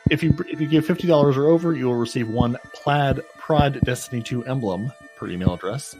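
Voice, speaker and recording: A man's voice: mid-range voice, a little fast, over music, low-quality recording. A man co-hosting a casual gaming podcast, chatty and self-mocking, often repeating his words as he reads out news. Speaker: podcast co-host.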